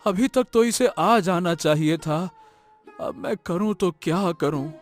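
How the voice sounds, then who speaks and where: Man acting anxious woodcutter husband, high male voice, lamenting, regretful, cartoon moral tale, music behind. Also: measured pace. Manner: lamenting, repeating words anxiously. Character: worried, regretful husband, a poor village woodcutter.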